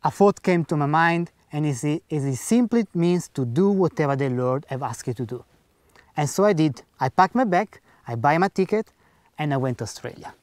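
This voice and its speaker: A man's voice: fairly high pitch, expressive, moderate pace, a little mellow, dry recording. A man speaking English as a second language, earnestly telling a personal faith story and acting out the dialogue in simple grammar. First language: not English. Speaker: speaker giving a personal testimony.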